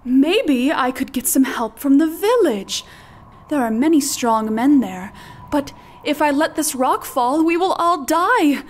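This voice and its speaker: Woman playing a high-voiced, pleading puppet sheep. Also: animated, a little slow. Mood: coaxing. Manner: pleading, melodramatic. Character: clever female sheep feigning meek helplessness. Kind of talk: children's puppet show character acting.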